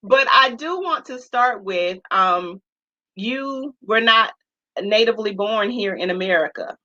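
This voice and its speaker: Interview host: woman, bright and forceful voice, affirming, heard on a dull lo-fi recording. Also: mid-range voice, animated, unhurried. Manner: warm-hearted, affirming, many "mm" and "wow". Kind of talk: casual interview. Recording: dull lo-fi sound.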